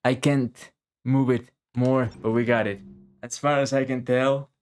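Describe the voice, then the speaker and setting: A man's voice: mid-range voice, little pitch movement, measured pace, darkish tone, clean tone. A man giving casual live game commentary as a streamer, informal and sweary, muttering to himself and to the game. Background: game music.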